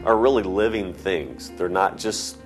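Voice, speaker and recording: A man's voice: low voice, a little slow. A man speaking reflectively as an interviewee in a documentary. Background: soft guitar music.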